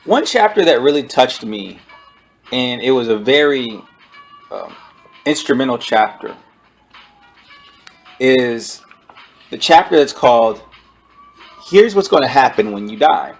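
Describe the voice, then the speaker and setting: A man giving an informal spoken book review, explaining his points in a halting way over background music. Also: mid-range voice, sing-song, slightly rough.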